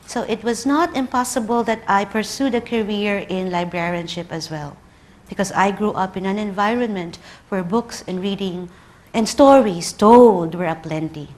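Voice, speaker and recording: A woman's voice: mid-range voice, moderate pace, darkish tone. A woman in her thirties with a Filipino accent, a native Tagalog speaker, giving a conference lecture and telling personal stories with light humor. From Philippines. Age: 30s.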